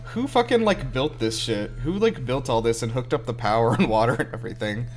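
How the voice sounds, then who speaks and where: A man with an average-pitched voice chats as co-host of a reaction show. He is joking and incredulous, in a loose conversational style. Film music is clearly audible behind him. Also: expressive, brisk. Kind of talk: casual movie reaction chat. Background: film soundtrack music.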